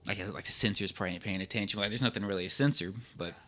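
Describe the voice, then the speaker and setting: A man's voice: on the low side, rapid-fire, pushed and bright. A man co-hosting a podcast in casual, colloquial chat, exclaiming and restarting as he reacts to a horror story. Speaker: podcast co-host. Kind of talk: casual chat about a horror radio drama.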